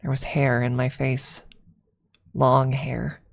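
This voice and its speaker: A woman reading a first-person story aloud as ASMR, softly and deliberately, with dramatic pauses and some near-whispered phrasing. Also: very deep voice, completely flat delivery, creaky.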